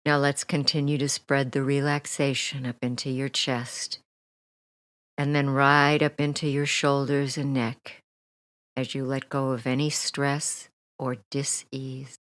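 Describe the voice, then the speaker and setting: A woman leading a guided meditation as a hypnotherapist, speaking soothingly and gently with deliberate pauses, her soft phrases trailing off toward a near whisper. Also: very deep voice, dry recording. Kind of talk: guided meditation, relaxation script.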